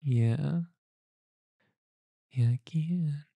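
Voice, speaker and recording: A woman doing an ASMR roleplay as a mother comforting her child, speaking in a soft, cooing, soothing way close to the mic. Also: sits low, very slow, gravelly, breathy edge, no echo. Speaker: roleplay performer.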